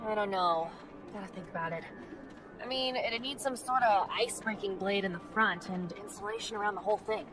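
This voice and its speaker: A woman's voice: medium pitch, brisk, close to an everyday voice. A woman playing a wry, salty-tongued rover mechanic in a game cutscene, talking casually. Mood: casual, doubtful. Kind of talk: video game cutscene acting.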